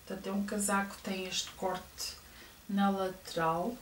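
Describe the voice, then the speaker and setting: A woman's voice: mid-range voice, level tone, unhurried. A middle-aged woman with a European Portuguese accent, chatting to viewers as she shows off clothes she bought, with lots of fillers and hesitations. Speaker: fashion vlogger. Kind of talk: casual clothing haul show-and-tell. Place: bedroom at home, indoors. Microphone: camera across the room. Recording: roomy distant camera audio with faint hiss.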